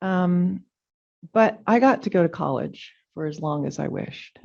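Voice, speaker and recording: A middle-aged woman, a Danish native speaker, giving a reflective, halting farewell speech as chair of a public meeting. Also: lowish voice, gravelly, darkish tone. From California. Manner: reflective, halting, with frequent ums. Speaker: commission chair. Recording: dull lo-fi meeting audio.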